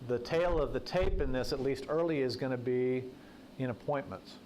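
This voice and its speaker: A man's voice: medium pitch, normal speed, darkish tone, slight background noise, poor audio. A man who is a political journalist, giving a conversational talk to a breakfast audience in a folksy, anecdotal way with joking asides. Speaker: guest speaker, political journalist. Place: breakfast event room.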